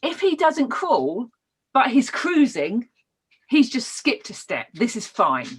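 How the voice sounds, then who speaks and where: A woman's voice: on the high side, sing-song, takes it slowly, breathy edge. A woman with a British accent teaching child development in an informal lesson, explaining points and checking in with her learners.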